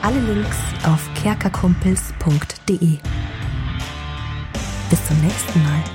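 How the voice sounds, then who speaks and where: Female announcer, fairly low voice, scripted podcast sign-off over clear guitar music. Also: slow. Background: acoustic guitar music.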